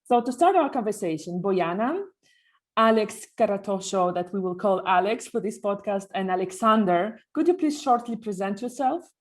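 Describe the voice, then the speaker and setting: A woman's voice: medium pitch, lively intonation, moderate pace. A woman hosting a podcast, introducing and moderating in a presenter's scripted style. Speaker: podcast host. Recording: music behind the voice.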